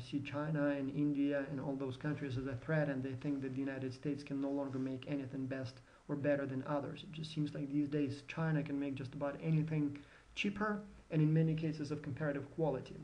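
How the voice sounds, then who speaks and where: A man gives a conversational recorded lecture, often pausing with fillers and restarting his words. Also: a little high, a little mellow, poor audio. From Ukraine. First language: Ukrainian.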